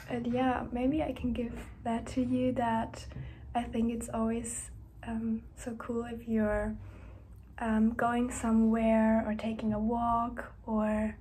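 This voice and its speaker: A soft-spoken young woman chats through a personal diary vlog, her gentle, breathy voice often sinking to a whisper. She takes her time, unhurried and slow, and her pitch stays fairly level, sitting a touch high for a woman. There is a faint hiss behind her. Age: young adult. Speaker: university student vlogger. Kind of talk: casual diary-style vlog chat.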